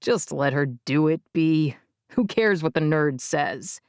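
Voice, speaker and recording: A woman's voice: low-pitched, expressive, slow pace, a little mellow, no echo, studio quality, pitched below the performer's own voice. A woman voicing a cocky older boy who sneers and shrugs things off. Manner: sneering, dismissive.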